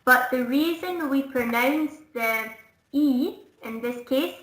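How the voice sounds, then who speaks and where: A woman's voice: high-pitched, slow pace, fairly bright tone, slight background noise. A woman from Scotland teaching English in a one-to-one online lesson, explaining patiently and demonstrating sounds. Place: indoors, online lesson call. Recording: roomy, echoing online call audio.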